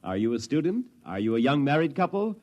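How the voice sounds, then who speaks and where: A man's voice: mid-range voice, very animated, quick pace, darkish tone, lo-fi sound. A man delivering a 1950s radio commercial in an earnest, rhetorical announcer style. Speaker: advertising announcer.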